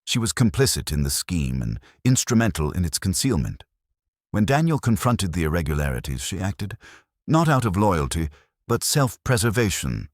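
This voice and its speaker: A man acts out a brilliant, exacting detective for an audiobook mystery. He is ponderous and formal, with a very deep, rough-edged voice that moves expressively as he pronounces his conclusions. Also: big pitch swings, moderate pace, no room sound, clean studio sound. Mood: accusing. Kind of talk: audiobook character dialogue.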